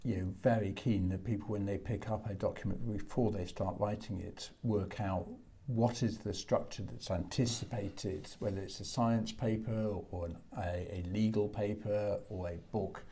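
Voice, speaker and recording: Mellow-voiced male interviewer, fairly low. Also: steady tone, moderate pace, slight background noise. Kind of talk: conversational interview questioning.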